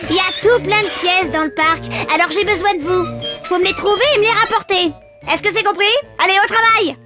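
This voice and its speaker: Cartoon little girl, very high voice, bossy, greedy, gloating, loud music behind. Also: a little fast. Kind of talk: cartoon video game voice acting.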